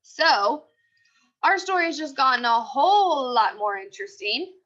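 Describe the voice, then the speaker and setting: A woman's voice: high voice, measured pace. A woman reading a children's mystery story aloud expressively, acting out the dialogue. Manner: animated, acts out the characters' dialogue. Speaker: storybook reader. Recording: dull, lo-fi sound.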